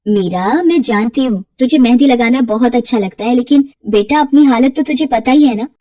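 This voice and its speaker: A woman's voice: a little high, moderate pace, dark tone, no room sound, lightly acted. Woman voicing a weary, worried mother. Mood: worried, persuading. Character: weary, worried, caring widowed mother, middle-aged.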